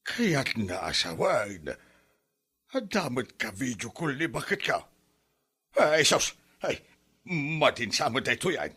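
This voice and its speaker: A man's voice: mid-range voice, big pitch swings, a little slow, pauses often, bright, forceful tone, slightly rough, exaggerated voice. A man playing a jealous, whiny, blustering married father in an Ilocano comedy drama, exclaiming and stammering when flustered. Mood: indignant, jealous. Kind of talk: comedy radio-style drama acting.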